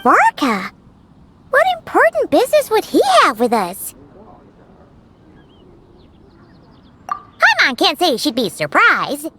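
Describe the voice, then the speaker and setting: A woman voicing a tiny, cheeky and excitable female companion creature in a game who talks about herself in the third person. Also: extremely high pitch, exaggerated voice. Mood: puzzled. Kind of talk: game cutscene voice acting.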